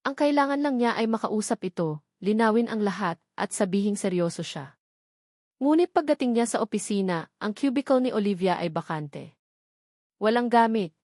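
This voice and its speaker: A woman narrates a dramatic story in a steady, measured read. Her voice sits at an ordinary pitch, and the recording is dry with no room sound. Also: no echo.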